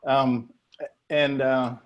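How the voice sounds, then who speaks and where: A man's voice: mid-range voice, flat delivery, very slow, dull audio. A man speaking off the cuff in a folksy, informal way as he hosts a meeting and takes questions on a video call. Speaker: meeting host answering questions.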